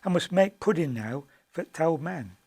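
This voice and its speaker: A kindly old housewife, performed by a man in a comic monologue. The voice is fairly high, homely and welcoming, in broad dialect. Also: animated, normal speed, a little mellow, breathy edge.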